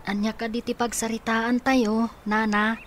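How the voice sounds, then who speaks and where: A woman's voice: fairly high pitch, flat delivery, a little slow, faint music behind, lightly acted. Meek, troubled orphan, played by a woman. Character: meek, troubled orphaned youngster fleeing cruelty. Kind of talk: Ilocano radio drama acting.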